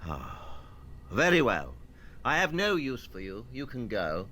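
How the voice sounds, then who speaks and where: A man's voice: mid-range voice, wildly swooping pitch, measured pace, sharp tone, heavily acted. A man playing a brilliant, imperious detective with a theatrical, pompous and incisive manner in an audio play. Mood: superior, dismissive.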